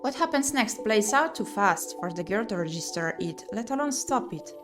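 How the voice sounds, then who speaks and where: A woman doing let's-play commentary, reading game narration aloud and muttering and exclaiming to herself in an expressive way. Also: medium pitch, moderate pace. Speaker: streamer playing and reading a visual novel. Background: music.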